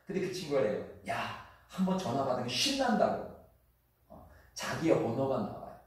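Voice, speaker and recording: Chungcheong pastor preaching in an echoey hall. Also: male voice, mid-range voice, big pitch swings. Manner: earnest, conversational preaching with drawn-out sentence endings. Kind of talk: sermon. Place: church sanctuary. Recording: echoey church hall from far off.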